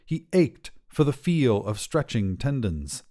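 A man reading a short story as its narrator, with a measured, literary storytelling delivery. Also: medium pitch, very animated, mellow. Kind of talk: scripted fiction narration, storytelling.

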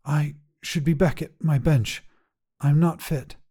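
A man voicing an anxious, ashamed woman in a short story, speaking in a halting, strained way. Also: fairly high pitch, steady tone, dark tone, slightly rough, pitched above the performer's own voice. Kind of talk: audio short story character voice.

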